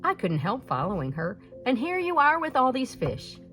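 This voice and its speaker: A woman's voice: on the high side, wildly swooping pitch, normal speed, a bit bright, faint music behind, pitched above the performer's own voice. A woman voicing a rich, generous old male merchant in a storybook, hearty and full of wonder. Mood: marvelling.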